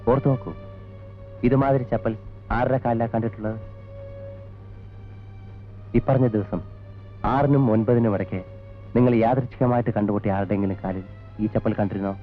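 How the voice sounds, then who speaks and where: An actor playing a stern, methodical police investigator in an old Malayalam film, formal and insistent. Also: male voice, medium pitch, fairly even intonation, moderate pace, long pauses, natural voice. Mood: probing, firm. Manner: formal, insistent, mixing in English words. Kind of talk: 1980s Malayalam film acting. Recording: dull old film soundtrack with music.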